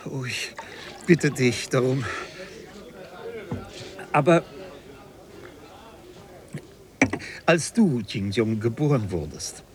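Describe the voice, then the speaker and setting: An actor playing a polite, bewildered bookseller in a radio play, halting and puzzled as he struggles to follow. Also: medium pitch, expressive. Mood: dazed, resigned, then muddled. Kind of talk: German radio play acting.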